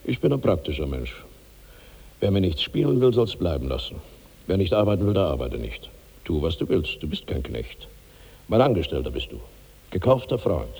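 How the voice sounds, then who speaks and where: An actor playing a gigantic, self-pitying rich glutton of 65 in theatrical, grandiose 1960s radio drama style, swinging between brooding and giddy. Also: male voice, low voice, big pitch swings, normal speed, gravelly, darkish tone, husky, exaggerated voice. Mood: brooding, wounded. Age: older. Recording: reverberant 1960s radio studio recording.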